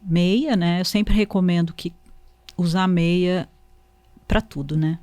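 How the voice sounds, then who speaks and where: A woman's voice: fairly low pitch, slow pace, mellow, dry recording. A Brazilian woman, a doctor guesting on a podcast, explaining things conversationally and readily confirming points. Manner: explanatory, agreeing with short confirmations. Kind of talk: casual explanatory medical conversation.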